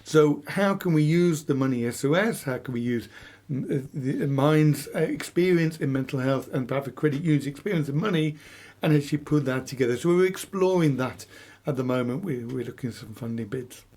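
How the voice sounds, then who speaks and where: A man's voice: medium pitch, a little fast, few pauses, darkish tone. A man in a conversational radio discussion, speaking as a money trainer with an enthusiastic, interjecting manner.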